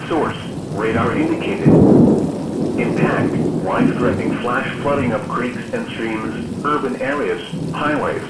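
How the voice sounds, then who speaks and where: A man's voice: medium pitch, measured pace. A man's voice reading a scripted emergency weather bulletin in a formal, clipped, list-like way, as an automated announcer. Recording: echoey, distant, very noisy with storm.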